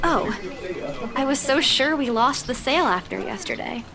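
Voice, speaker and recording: An actress playing an eager, excitable young female real estate agent in a visual novel. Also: high-pitched, moderate pace, gravelly, a crowd in the background. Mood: amazed. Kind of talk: visual novel character acting.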